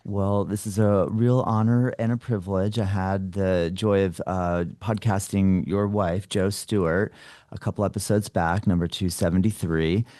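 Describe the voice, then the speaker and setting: A man's voice: sits low, steady tone, normal speed, mellow, dry recording, studio quality. A man hosting a casual interview, effusive and appreciative, with rambling conversational questions. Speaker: podcast host interviewing a guest.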